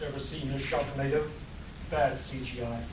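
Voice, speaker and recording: A man's voice: medium pitch, steady tone, moderate pace, over a noisy crowd, lightly acted. A man playing a laid-back, jaded retired storm chaser in a comic radio play, with a flippant manner. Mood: casual. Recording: echoey noisy hall, distant mic.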